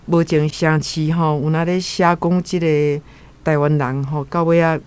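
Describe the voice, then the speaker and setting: Radio guest commentator: male, Taiwanese accent, lightly raised pitch, chatty discussion style. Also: little pitch movement, unhurried, clean tone. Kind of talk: conversational commentary on a story.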